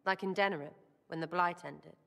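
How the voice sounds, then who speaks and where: A woman's voice: lowish voice, steady tone, brisk, gravelly, fairly bright tone. An actress playing a cheeky, impulsive young woman rogue in a video game, talking in slangy, irreverent, blurted fragments. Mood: blunt, chatty.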